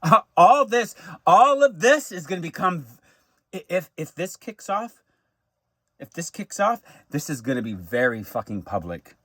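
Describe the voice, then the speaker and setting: Casting: American male for an off-the-cuff personal monologue, fairly high voice, stammered restarts, emphatic repetition, very dry recording. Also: sing-song, unhurried, a bit bright, no room sound. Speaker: vlogger recounting personal grievances.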